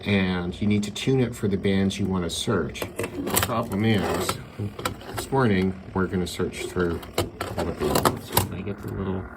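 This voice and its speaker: A man giving a casual hands-on demonstration, unhurried and thinking aloud as he goes. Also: deep voice, very noisy. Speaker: radio hobbyist presenter. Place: indoors by a window.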